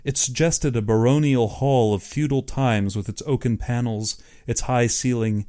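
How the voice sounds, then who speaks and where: A male narrator reads literary fiction aloud. His voice is dark and mellow, sitting at an average pitch. The delivery is scripted storytelling for an audiobook.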